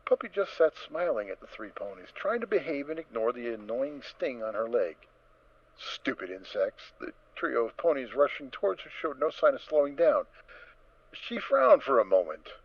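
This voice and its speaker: A man narrating a scripted story in a theatrical, expressive storytelling manner. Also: medium pitch, normal speed, lo-fi sound.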